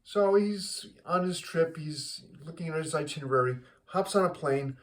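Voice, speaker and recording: A man hosting a casual joke segment, speaking to listeners in a rambling, halting way and often repeating words as he goes. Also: fairly high pitch. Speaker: joke teller, host of a regular joke segment.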